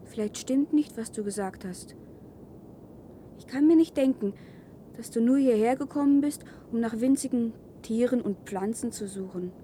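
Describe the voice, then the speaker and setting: A woman's voice: a little high, normal speed, warm tone, slight background noise, close to an everyday voice. An actress playing a curious, distrustful fifteen-year-old girl in a science-fiction radio drama, speaking in a direct, probing, naive way. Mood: suspicious.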